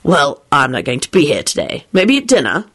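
A woman voicing a dry, polite adult male wizard with a measured, lofty manner in a story reading. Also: deep voice, wildly swooping pitch, fairly bright tone, husky, no room sound, voice pushed down, heavily acted. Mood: cool, lofty.

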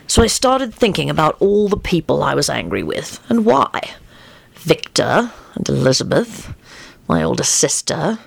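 A woman voicing a mild, pensive young male wizard in a story, calm and deliberate in manner. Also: sits low, vocal fry, husky, no echo, exaggerated voice, rough recording.

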